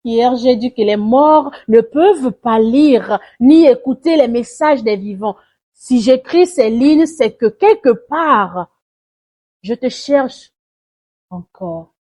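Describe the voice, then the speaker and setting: A woman recites a poem dramatically, in a solemn, mournful and deliberate way, swelling and hushing her phrases. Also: a little high, lively intonation. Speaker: poetry reciter. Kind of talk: dramatic spoken-word poetry recitation.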